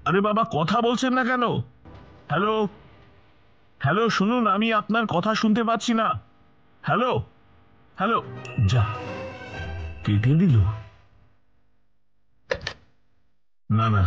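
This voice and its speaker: A man's voice: high-pitched, very animated, a little slow, long pauses, fairly bright tone, highs cut off, full character voice. A man voicing a fretful, dramatic middle-aged householder in a cartoon, exclaiming and repeating himself theatrically. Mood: exasperated. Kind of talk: cartoon voice acting. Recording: music behind the voice.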